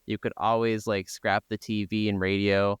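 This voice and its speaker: Male co-host, fairly deep and crisp, informal agreeable game talk, recorded close and dry. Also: flat delivery, normal speed, dry recording. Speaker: podcast co-host. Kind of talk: casual video game discussion.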